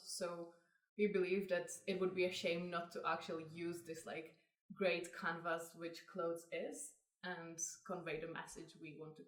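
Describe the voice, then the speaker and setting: A young woman with a Czech accent, a designer giving measured interview answers peppered with "like". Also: on the low side, fairly bright tone. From Czech Republic. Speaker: designer, co-founder of a clothing brand. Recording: echoey room, mic at a distance.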